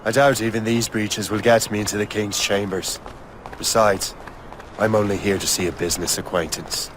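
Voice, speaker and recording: An actor playing a guilt-laden, resolute adult assassin turned Templar in dramatic video game cutscenes. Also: male voice, fairly low pitch, level tone, normal speed, noisy background, lightly acted. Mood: wry, guarded.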